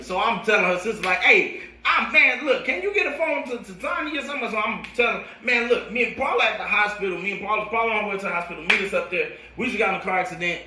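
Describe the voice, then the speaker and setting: An American man who works as a coach, telling a personal story in animated, slangy casual speech and acting out the dialogue and sound effects. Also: high voice. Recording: roomy echo, distant microphone.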